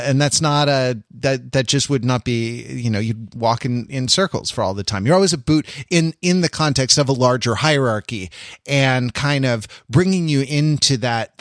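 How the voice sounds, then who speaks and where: A man co-hosting a podcast, talking casually and analytically in a halting, rambling, intellectual way with wry amusement. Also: medium pitch, fairly bright tone, dry recording, clean studio sound. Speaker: podcast co-host.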